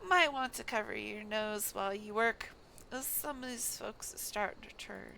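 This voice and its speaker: Woman voicing a gruff, folksy fantasy shopkeeper. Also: mid-range voice, moderate pace, background noise, natural voice. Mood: grumbling, weary. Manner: rustic colloquial speech, dropped consonants.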